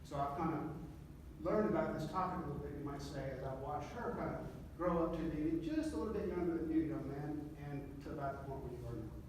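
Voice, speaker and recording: A man's voice: fairly high pitch, lively intonation, a little fast, hardly any pauses. A man in his fifties giving a casual lunch talk to a small group, chatting and asking questions. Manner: friendly, asks the audience questions. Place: meeting room. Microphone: distant room mic. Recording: echoey room from far off, noisy.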